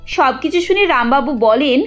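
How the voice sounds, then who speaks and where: Woman narrator for a cartoon tale, highish voice, bit muffled, soft music underneath. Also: expressive, moderate pace. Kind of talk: scripted cartoon story narration. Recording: dull lo-fi audio with music.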